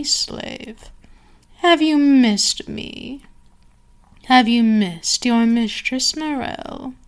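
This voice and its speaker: A woman playing an imperious, seductive vampire queen in an ASMR roleplay, speaking deliberately and condescendingly, sometimes slipping into whispers. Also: fairly high pitch. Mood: teasing, possessive. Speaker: audio roleplay performer.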